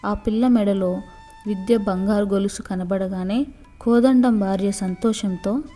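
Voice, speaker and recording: A woman's voice: medium pitch, fairly even intonation, unhurried, mellow, faint music behind. A woman storyteller reading a scripted moral story for children.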